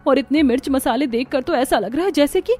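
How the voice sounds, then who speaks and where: High-voiced, put-upon young bride voiced by a woman. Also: brisk, darkish tone, faint music behind, close to an everyday voice. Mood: overwhelmed grumbling. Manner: complaining, wry muttering. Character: young new daughter-in-law, put-upon, sarcastic grumbler.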